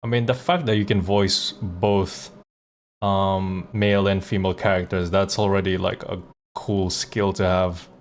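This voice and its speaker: A man's voice: low voice, flat delivery, measured pace, slight background noise. A man chatting casually on a livestream, blunt and conversational, with some swearing. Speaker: live streamer and voice actor. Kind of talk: casual livestream chat and reactions.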